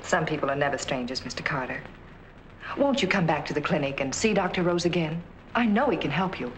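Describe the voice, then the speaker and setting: An actress playing a young clinic nurse in a 1940s film, speaking softly and earnestly. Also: female voice, sits low, animated, fairly quick, rough recording. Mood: concerned. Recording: faint hiss on old film soundtrack.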